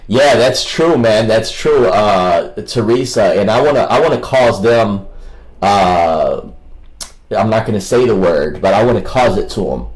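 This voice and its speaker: A man's voice: fairly low pitch, lively intonation, a little slow, rough recording. A man rambling casually as a livestream host, with a combative, mocking and coarse way of talking.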